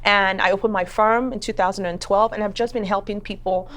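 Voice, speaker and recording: A woman with a Nigerian accent telling a personal story in an interview, speaking in an expressive, conversational way. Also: medium pitch, fairly quick. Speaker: attorney and judicial candidate.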